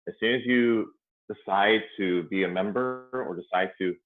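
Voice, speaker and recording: A man's voice: lowish voice, moderate pace. A man explaining his view as a member of a discussion panel. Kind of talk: explanatory talk in a roundtable. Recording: narrow, phone-like call audio.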